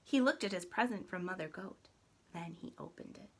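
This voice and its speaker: A woman reading a children's story aloud in an expressive, deliberate, dramatic way. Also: lowish voice. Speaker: storybook reader.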